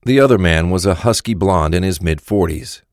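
A man's voice: low voice, normal speed, no echo, studio quality. A man narrating a scripted crime audio drama.

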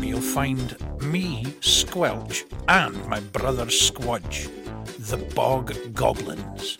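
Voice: medium pitch, creaky, pitched below the performer's own voice, heavily acted. A performer voicing a grubby goblin innkeeper, proud of a filthy hotel, in a children's story song. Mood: gruff boasting. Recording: loud music under the voice.

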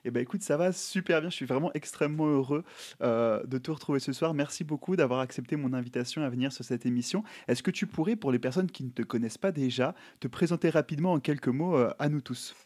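A man's voice: mid-range voice, quick pace, a little mellow. Enthusiastic male interview host. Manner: enthusiastic, many fillers and restarts. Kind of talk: livestream interview.

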